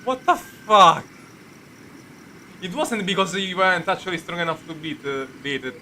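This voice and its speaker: A man giving casual live commentary as he plays a game, halting and repetitive, swearing freely. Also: high-pitched, expressive, pushed and bright, a little hiss or hum. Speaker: game streamer.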